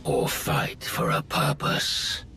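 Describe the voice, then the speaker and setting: An actor playing a menacing, coldly grandiose imprisoned dark lord in a video game, speaking in ominous pronouncements. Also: male voice, slow, a crowd in the background, lightly acted. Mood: cold contempt. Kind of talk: dramatic video game villain acting.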